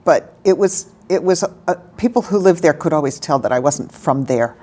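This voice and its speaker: A woman in her sixties, from Alabama, speaks in a notably low register. She answers interview questions in a measured, reflective way, often pausing with small fillers as she remembers. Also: expressive, dark tone. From Alabama, American South. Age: 60s. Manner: thoughtful, measured, pauses with fillers. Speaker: interviewee, lawyer. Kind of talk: oral history interview.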